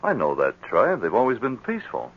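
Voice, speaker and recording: Steady, low-voiced police sergeant. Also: male voice, vocal fry, exaggerated voice. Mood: dutiful. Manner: polite, measured, respectful. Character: courteous, steady, dutiful Mountie sergeant. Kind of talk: 1940s radio acting. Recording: dull 1940s radio recording.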